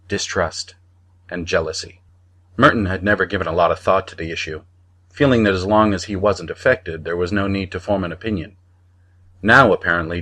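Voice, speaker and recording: Male narrator, low voice, measured storytelling delivery for scripted audiobook fiction. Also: fairly bright tone.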